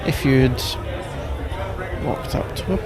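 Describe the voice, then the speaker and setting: A man with a British accent playing a suave, suspicious and powerful mafia crime lord in an intimate ASMR roleplay, measured, self-assured and teasingly condescending. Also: mid-range voice, lightly acted. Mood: suspicious, warning. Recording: noisy bar-like crowd ambience behind.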